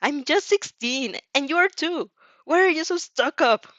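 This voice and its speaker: A woman's voice: high-pitched, animated, a little slow, a bit bright, dry recording, dull audio, pitched above the performer's own voice. A woman voicing a bratty, fed-up sixteen-year-old girl in an audio story, snappy, whiny and dismissive. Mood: whiny complaining.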